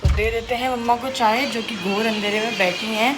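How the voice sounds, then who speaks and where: A woman's voice: a little high, fairly even intonation, fairly quick, roomy sound, over loud music. Chatty young woman vlogging at home. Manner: chatty, mixes English words into Hindi. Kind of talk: casual vlog chat. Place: home kitchen.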